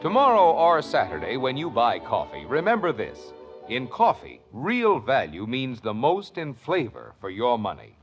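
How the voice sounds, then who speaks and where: A man's voice: medium pitch, huge pitch swings, normal speed, husky, soft background music. A male American commercial announcer in vintage radio style. His mid-range voice rises and falls dramatically as he persuades with emphasis. The recording is dull and dated. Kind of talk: 1950s radio advertising pitch.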